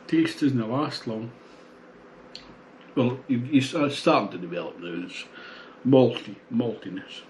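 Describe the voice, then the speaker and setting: An older man in his sixties talks slowly and unhurriedly, musing aloud with frequent pauses as he reviews a beer. He sounds relaxed and casual, picked up by a camera across the table in a small home room with a faint hum behind him. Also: medium pitch, low-quality recording.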